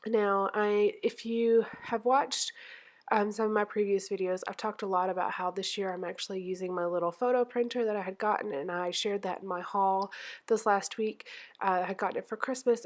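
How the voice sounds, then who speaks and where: A woman's voice: medium pitch, a little mellow. A woman with a stuffy, nasal voice, still getting over the flu, talks through her craft process. She rambles in a friendly, casual way, with lots of ums and little self-corrections. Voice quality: nasal, congested. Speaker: scrapbooking channel host.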